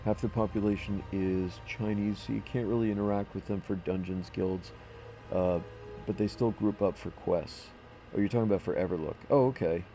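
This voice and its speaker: A man's voice: deep voice, gravelly, mellow, a little hiss or hum. A man streaming a game, chatting casually with his chat in a rambling way, full of restarts and fillers. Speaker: livestreamer playing a game. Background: background music.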